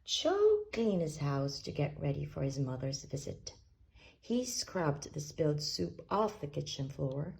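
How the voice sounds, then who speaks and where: A woman reading a children's story aloud as an expressive narrator. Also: low-pitched, unhurried, creaky. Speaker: storybook reader.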